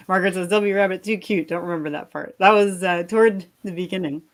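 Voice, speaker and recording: A woman with a slightly low voice co-hosts a relaxed book chat. She is encouraging and giggles easily as she talks with the group. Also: normal speed. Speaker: co-host of a live book-reading show.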